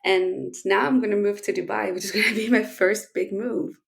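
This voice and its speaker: A woman's voice: mid-range voice, steady tone, moderate pace, creaky. A woman from Chile, a podcast guest explaining her field in a friendly way, with plenty of fillers. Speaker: guest, space lawyer. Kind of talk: conversational podcast interview.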